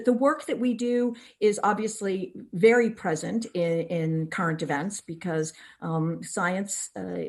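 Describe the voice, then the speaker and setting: A woman's voice: mid-range voice, a little mellow. A woman co-hosting an online event, giving conversational introductory remarks over a video call. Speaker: event co-host.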